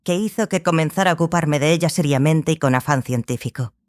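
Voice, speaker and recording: An actress as a grave, measured scholarly sorceress. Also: female voice, sits low, close to an everyday voice. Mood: reflective. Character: stern, obsessive scholarly sorceress, the Lady of the Lake. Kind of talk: dramatized audiobook dialogue.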